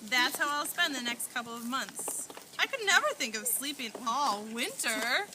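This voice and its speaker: A woman's voice: high voice, lively intonation, moderate pace. A woman voicing an active, good-natured, self-satisfied male beaver in a children's puppet show. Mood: proud, cheerful. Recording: very noisy amateur room recording.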